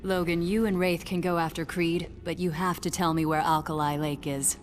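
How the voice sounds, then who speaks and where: A cool, confident female mutant rebel played by a woman in a game cutscene. Her voice is deep and sultry, with a flirtatious edge and some music underneath. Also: level tone, normal speed, lightly acted. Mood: determined. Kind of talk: video game cutscene acting.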